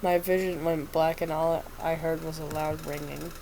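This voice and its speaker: A woman's voice: low-pitched, flat delivery, normal speed, background noise. A woman with a stuffy nose reading the part of a weary male doctor telling his story in first person. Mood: wry.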